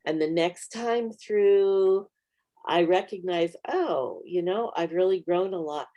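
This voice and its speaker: A woman's voice: on the low side, slow, dark tone. Earnest woman giving Bible study tips. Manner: earnest, encouraging, gives practical tips. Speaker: Bible study author and co-host. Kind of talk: casual teaching conversation.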